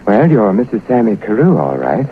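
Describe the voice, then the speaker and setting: A tinny vintage radio recording with faint music features a shrewd investigator. His low voice moves with lively inflection, wry and clipped as he probes, in 1940s radio drama style. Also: normal speed, a little hiss or hum. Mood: wry, guarded. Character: dry, shrewd, skeptical male British intelligence investigator. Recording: tinny narrow-band old radio recording.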